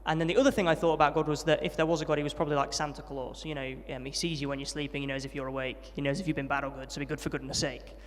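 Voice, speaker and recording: A young man recounts his upbringing at a brisk pace and a slightly raised pitch. He is friendly and self-deprecating, with plenty of ums. His voice rings with the long reverb of a large hall. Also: fairly even intonation. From Yorkshire, England. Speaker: interviewee, trainee vicar. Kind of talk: testimony interview at a student event.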